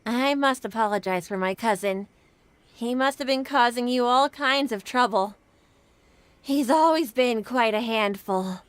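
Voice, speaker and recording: An actress playing a courteous, protective female cousin in a video game, gracious yet quietly bossy. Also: on the high side, no echo. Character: polite, protective elder female cousin, gracious but firm.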